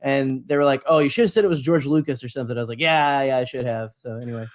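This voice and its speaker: A man's voice: medium pitch, quick pace. A man co-hosting a fan podcast, chatting casually in a rambling, jokey way. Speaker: podcast co-host.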